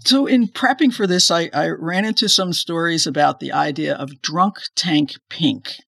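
Curious conversational podcast host, gravelly. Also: mid-range voice, normal speed, a bit bright, no room sound, clean studio sound. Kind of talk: interview questions.

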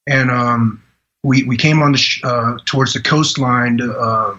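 A man in his forties from Vietnam, a podcast guest telling his life story in an earnest, halting way with many restarts. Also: mid-range voice, steady tone, sharp tone, muffled recording. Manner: earnest, halting delivery with frequent "you know" restarts. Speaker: podcast guest, retired Green Beret. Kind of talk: personal storytelling in a podcast interview.

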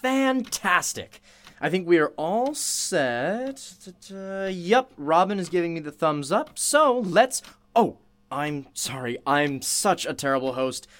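A man's voice: a little high, big pitch swings, takes it slowly, fairly bright tone, heavily acted. A man playing a chatty, courteous radio show host interviewing a witness in a radio play, effusive and apologetic. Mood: chatty, upbeat.

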